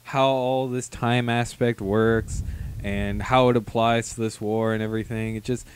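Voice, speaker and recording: A man's voice: medium pitch, level tone, a little slow, slight background noise. A young man co-hosting a podcast, giving casual opinions into a handheld microphone. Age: young adult. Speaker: podcast co-host, reviewer. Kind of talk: casual review chat. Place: small room, indoors.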